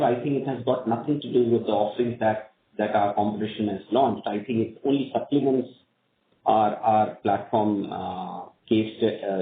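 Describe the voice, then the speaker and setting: A man with an Indian accent speaking as a company executive, answering questions on an earnings call in measured, hesitant phrasing. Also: on the low side, gravelly. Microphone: conference call line. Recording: tinny phone line, echoey room, faint noise.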